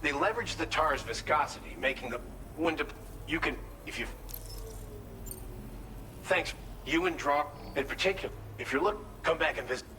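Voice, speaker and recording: A man's voice: a little high, normal speed, pauses often, sharp tone, a little hiss or hum. A man playing a friendly, grateful settlement worker in a video game, explaining things in an earnest, helpful way. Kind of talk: video game cutscene dialogue.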